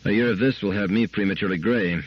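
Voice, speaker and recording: A weary, grumbling husband, voiced by a man in a radio story, low and husky. He mutters his complaints, and the recording sounds dull, like old radio. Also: fast.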